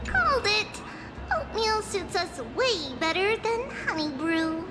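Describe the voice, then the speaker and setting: A bold, cheerful small girl from an anime game. Her voice is extremely high and sing-song. Loud music plays behind her. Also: expressive, slow, full character voice. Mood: smug.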